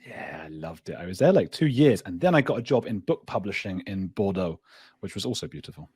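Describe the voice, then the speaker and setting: A man's voice: on the low side, expressive, a little fast, a little mellow, husky, dry recording, clean studio sound. A man hosting a remote interview, upbeat and chatty, sometimes stumbling over his first words. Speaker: podcast host. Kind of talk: interviewer chat.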